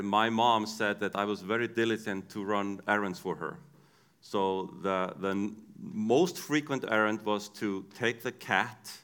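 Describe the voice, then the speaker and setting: A man's voice: fairly low pitch, a bit bright, clear, resonant tone. Icelandic-accented man preaching a sermon. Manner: storytelling, conversational, frequent restarts.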